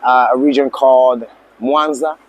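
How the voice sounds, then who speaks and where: A man in his forties from Tanzania, a lodge owner speaking as an interview guest in a relaxed, halting way with many fillers and restarts. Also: medium pitch, muffled recording, slight background noise. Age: 40s. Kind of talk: casual interview talk.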